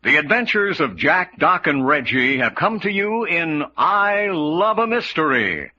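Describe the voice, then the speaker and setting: Animated American male radio announcer. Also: a little high, measured pace. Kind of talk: classic radio announcing, scripted credits. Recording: muffled radio recording over organ music. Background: organ music.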